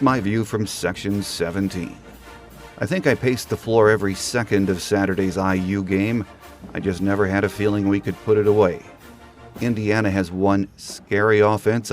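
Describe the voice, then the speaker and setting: An American man hosting a sports podcast, delivering prepared commentary and introducing his guest. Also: fairly low pitch. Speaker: podcast host. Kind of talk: sports podcast hosting, scripted monologue and interview.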